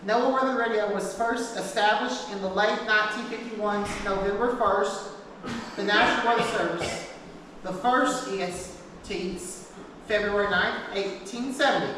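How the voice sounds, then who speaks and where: A woman giving an informal class talk, partly reading from notes, speaking haltingly and repeating her phrases. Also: mid-range voice, fairly bright tone. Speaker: presenter teaching a class. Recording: echoey hall, distant mic, noisy background.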